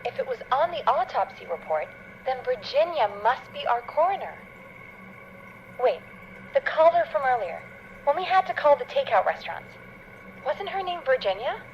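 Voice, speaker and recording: High, animated, inquisitive radio producer woman. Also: lo-fi sound, a little hiss or hum. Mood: incredulous, puzzled. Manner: animated, questioning, quick to react. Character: inquisitive, incredulous young female radio producer. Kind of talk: video game dialogue.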